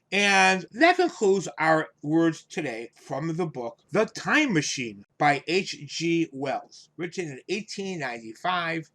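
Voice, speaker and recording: A man giving an English vocabulary lesson, explaining words in a deliberate, instructive way with repeated phrases and simple examples. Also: a little high, fairly bright tone. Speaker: English teacher, host of the lesson.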